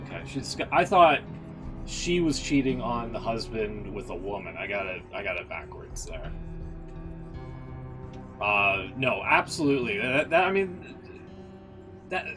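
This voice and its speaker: A man giving casual, off-the-cuff commentary as a streamer, blunt and prone to swearing. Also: mid-range voice. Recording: clear music behind the voice. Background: background music.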